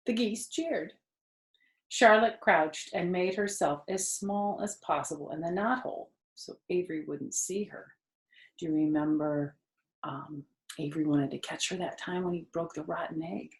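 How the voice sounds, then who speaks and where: A woman's voice: fairly low pitch, measured pace. A woman reading a children's story aloud expressively, with conversational asides to her listeners. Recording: roomy echo.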